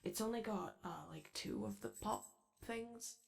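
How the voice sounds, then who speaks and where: A woman's voice: mid-range voice, big pitch swings, measured pace, gravelly, background noise, full character voice. A woman playing a shy, chatty animatronic daycare attendant in a roleplay ASMR, rambling tentatively and trailing off. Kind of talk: roleplay ASMR, improvised-sounding casual chat.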